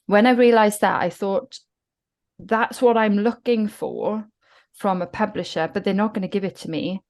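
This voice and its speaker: A woman's voice: medium pitch, normal speed, a little mellow. A woman from South Wales with a Welsh accent, a guest talking reflectively in a podcast interview. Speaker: guest author.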